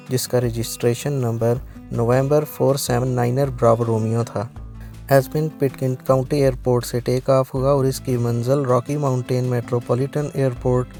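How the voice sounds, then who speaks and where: Gravelly male documentary narrator over music. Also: mid-range voice, warm tone. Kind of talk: scripted documentary narration of aviation incidents.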